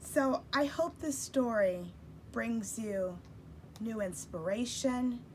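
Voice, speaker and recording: Slow-paced woman encouraging young children. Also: on the high side, expressive, a loud crowd, heavy background noise. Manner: encouraging, gushing. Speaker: guest children's book author. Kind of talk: warm address to young children, read-aloud.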